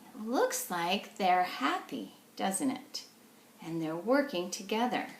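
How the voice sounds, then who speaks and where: A woman's voice: medium pitch, sing-song, takes it slowly, reverberant, a little hiss or hum. A woman reading a storybook aloud to young children as their teacher, explaining things simply and checking in with questions.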